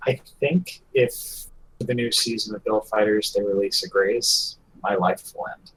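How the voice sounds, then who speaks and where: A man's voice: on the low side, measured pace, fairly bright tone, slight background noise, rough recording. A man chatting casually as a panelist on a hobby podcast.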